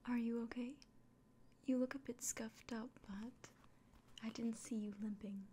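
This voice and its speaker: A woman playing a kind, anxious village innkeeper in a fantasy ASMR roleplay, speaking gently and directly to the listener in a breathy, mostly whispered voice. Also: a little high, steady tone, measured pace, a little hiss or hum, close to an everyday voice. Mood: caring.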